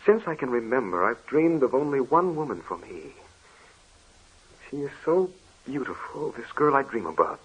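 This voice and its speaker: A sensitive young Dutch student. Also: male voice, medium pitch, big pitch swings, normal speed, slightly rough. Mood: troubled. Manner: earnest, troubled pleading. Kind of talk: 1940s radio drama acting. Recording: dull old radio recording.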